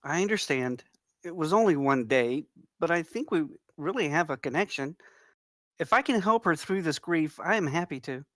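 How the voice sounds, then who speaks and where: A man playing a gentle, devoted young woman, soft-spoken and earnest, in a screenplay table read. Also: on the high side, expressive, moderate pace, no room sound, clean studio sound. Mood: earnest, caring.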